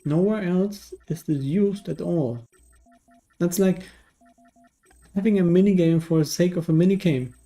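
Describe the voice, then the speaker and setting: Mellow, dry male game reviewer. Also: sits high, measured pace, long pauses. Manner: dry, sardonic. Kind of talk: scripted video game review narration.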